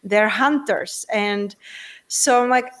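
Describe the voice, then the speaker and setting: A woman's voice: mid-range voice, takes it slowly, fairly bright tone. A woman with a Czech accent, talking earnestly as a guest in a casual interview. She keeps posing rhetorical questions to the listener. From Czech Republic.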